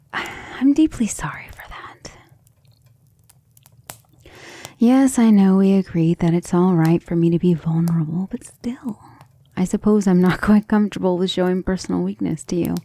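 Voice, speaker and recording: A woman acts out an ancient vampire lady in an ASMR roleplay, low in pitch with a soft, dark timbre. She is proud yet devoted, musing tenderly in a breathy murmur that often sinks to a whisper. Her words come out refined and intimate, edged with vocal fry. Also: lightly acted. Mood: tender, teasing. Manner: affectionate, refined, hesitant musing.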